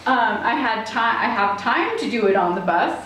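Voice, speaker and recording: A woman's voice: a little high, lively intonation, moderate pace, fairly bright tone, recorded from a distance. Rambling woman in an echoey room. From Switzerland. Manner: rambling, searching for words. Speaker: interview guest. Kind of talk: casual chat. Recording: very echoey room with faint noise.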